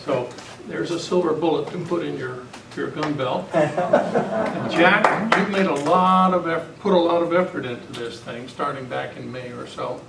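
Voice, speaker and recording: Loud noisy echoey room, gravelly guy giving casual thank-you speech at club meeting. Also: fairly high pitch, moderate pace, distant mic. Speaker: club member presenting gifts. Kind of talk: informal presentation speech.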